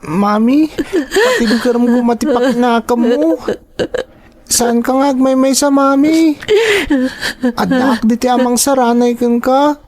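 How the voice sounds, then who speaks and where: A young Filipino woman acting in a radio drama as a worried, devoted daughter who gently pleads with and comforts her mother. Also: a little high, measured pace, long pauses, natural voice. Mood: comforting, pleading.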